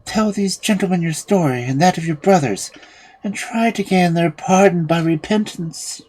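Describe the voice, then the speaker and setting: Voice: mid-range voice, normal speed, darkish tone, slightly rough, lightly acted, low-quality recording. A storyteller voicing a distressed, lovesick young woman from a fairy tale, reproachful and pleading in a plaintive, dramatic way. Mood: sorrowful pleading.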